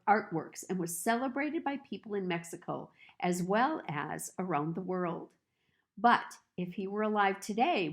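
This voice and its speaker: A woman reads a picture book aloud for children. She takes her time, placing each phrase deliberately. Her mid-pitched voice moves through lively, expressive rises and falls as she tells the story.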